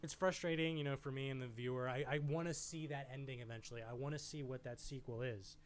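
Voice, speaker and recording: A man's voice: mid-range voice, fairly even intonation, fast talker, a little hiss or hum. A man hosting a video essay, giving informal, enthusiastic film commentary in a conversational style. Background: background music.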